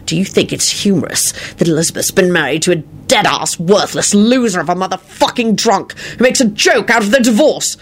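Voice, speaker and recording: A sardonic man in a story, acted by a woman reader. He has a quick temper and a threatening edge, in a fairly low, expressive voice. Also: breathy edge, exaggerated voice. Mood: dangerous anger. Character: coolly sarcastic, quick-tempered man, dangerous when angry. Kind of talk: audiobook character acting.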